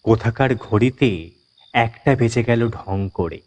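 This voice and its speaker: A man narrating a scripted horror story in a dramatic, measured, suspenseful manner. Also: mid-range voice, a little mellow.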